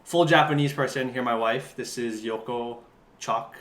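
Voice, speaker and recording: A man's voice: mid-range voice, moderate pace, a bit bright. Laid-back male host in an echoey room. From Hawaii. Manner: laid-back, laughs often. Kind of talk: casual chat. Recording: roomy room with faint hiss.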